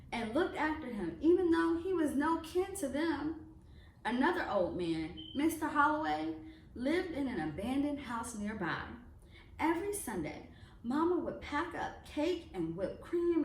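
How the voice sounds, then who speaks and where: A woman reading a children's picture book aloud in an expressive storytelling way. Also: high-pitched. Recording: echoey room, distant mic, faint noise.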